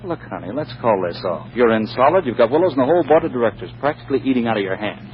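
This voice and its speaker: An actor voices a brash, impatient boyfriend, full of needling, slangy sarcasm. His breezy delivery has very lively pitch swings in old radio comedy style. The vintage recording sounds muffled. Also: very fast, a little hiss or hum, close to an everyday voice. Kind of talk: 1940s radio comedy acting.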